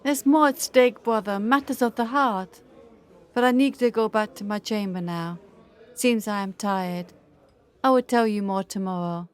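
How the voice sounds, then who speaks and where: A woman's voice: mid-range voice, normal speed, smooth, natural voice. A woman playing a secretive, lovelorn young noblewoman in a radio drama, genteel and confiding. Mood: weary, earnest.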